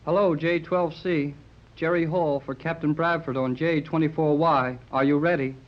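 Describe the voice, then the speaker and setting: A muffled 1930s radio recording carries an eager, curious boy played by a man. His voice sits fairly high and breaks into boyish exclamations as he works the yacht's radio. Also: steady tone, moderate pace, natural voice. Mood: urgent reporting. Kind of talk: 1930s radio serial acting.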